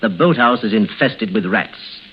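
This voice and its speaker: Brisk, dismissive husband, muffled sound. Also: male voice, fairly low pitch, lively intonation. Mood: insistent. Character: impatient, exasperated, dismissive husband. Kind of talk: vintage radio drama acting. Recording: muffled, noisy old radio recording.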